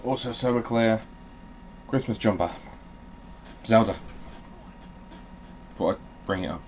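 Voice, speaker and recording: Low, slow-talking man with background noise. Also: a little mellow. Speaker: video game show host. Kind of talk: casual gaming let's-play commentary.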